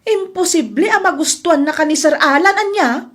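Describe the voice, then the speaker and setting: A blunt, chiding friend played by a woman in an audio comedy-drama. Her voice is very high, and she scolds with emphatic reproach. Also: expressive, moderate pace. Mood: reproving.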